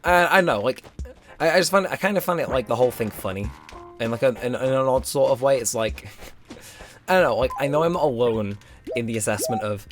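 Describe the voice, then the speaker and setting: A young man with a slightly hoarse, strained throat, rambling casually with lots of fillers while commenting on a game. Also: medium pitch, lively intonation, fairly quick. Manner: rambling, filler-heavy, self-mocking. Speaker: let's play host. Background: game music.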